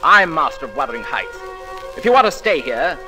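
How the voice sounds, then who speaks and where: A young male master of the house in a 1940s radio play. He sneers and bullies in a high, animated voice. Music plays clearly behind him. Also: brisk. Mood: sneering, threatening. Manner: sneering, domineering. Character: cruel, jealous, bullying young master of the house.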